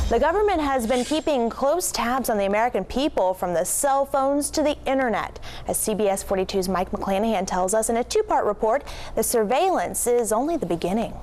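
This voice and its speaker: A woman's voice: on the high side, darkish tone. A woman anchoring a television newscast, reading scripted stories in a presenter's delivery. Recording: clearly noisy TV news aircheck.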